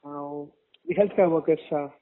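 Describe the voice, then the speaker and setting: A man speaking as a doctor in a phone interview, giving formal, halting, measured explanations over a narrow phone line. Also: on the high side. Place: phone call. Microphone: telephone.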